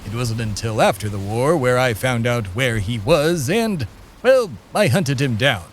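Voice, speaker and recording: A man plays a grandiose, unflappable immortal who personifies America in a comedy audio drama. He relays wild family history in a dry, matter-of-fact tone and lets a pause hang before each punchline. His voice sits at an ordinary middle pitch for a man. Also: animated, slight background noise, close to an everyday voice. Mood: deadpan. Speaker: co-host character.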